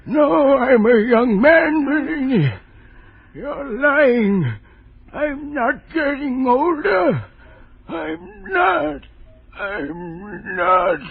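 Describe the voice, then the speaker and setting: A man playing a frightened young man in 1940s radio horror, halting and melodramatic. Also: very high voice, expressive, vocal fry, heavily acted. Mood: panicked denial. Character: frightened young man refusing to believe he's dying. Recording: tinny old radio recording.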